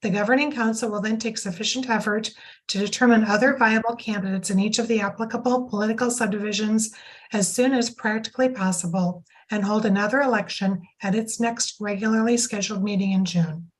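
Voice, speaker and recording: A hoarse woman reading procedural rules aloud at a board meeting. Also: medium pitch, fairly even intonation, brisk, rough recording. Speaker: council member reading the rules. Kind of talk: reading a bylaw at a public meeting.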